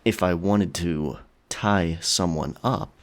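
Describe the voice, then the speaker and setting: An actor playing a teasing, self-assured pirate sailor in an audio drama. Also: male voice, deep voice, slow pace. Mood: serious, instructive.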